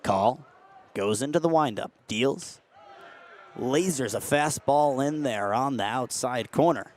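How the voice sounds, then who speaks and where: A young man calling a game as a radio play-by-play announcer, steady between plays and rising to excited shouts on big hits. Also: mid-range voice, takes it slowly, a little hiss or hum. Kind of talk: radio sports play-by-play commentary.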